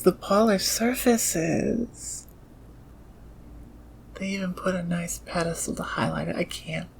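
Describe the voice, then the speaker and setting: A woman's voice: lowish voice, creaky. Eager, teasing female thief tinkerer played by a woman, gem-obsessed, slightly crackly voice, ASMR roleplay, some background noise. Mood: doting whisper. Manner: doting, murmuring to gadgets and gemstones. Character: eager, teasing female thief inventor who adores gems and machines. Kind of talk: ASMR audio roleplay acting.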